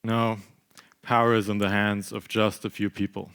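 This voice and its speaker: A man's voice: lowish voice, level tone, moderate pace, a bit bright, clear, resonant tone. A young man reading the part of a detached, sceptical Lebanese outsider in a staged play reading. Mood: disillusioned. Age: young adult.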